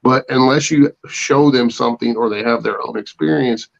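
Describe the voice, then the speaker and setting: A man's voice: medium pitch, moderate pace, creaky. A man chatting as a panelist on a talk show in a plain-spoken, folksy way. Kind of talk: casual chat. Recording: dull lo-fi online audio.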